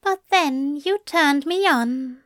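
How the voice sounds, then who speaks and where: A woman's voice: high voice, slow, no echo, clean studio sound, voice pushed up. A woman voicing a prim male angel in an audio story, with a coy, genteel, lilting and affectionate manner. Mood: teasing, playful.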